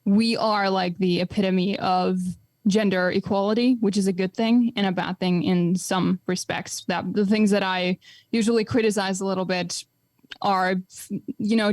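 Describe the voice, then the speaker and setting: A woman's voice: medium pitch, no echo. Reflective Finnish woman in her twenties. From Finland. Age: 20s. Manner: reflective, many fillers and restarts. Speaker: podcast guest. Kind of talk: casual podcast conversation.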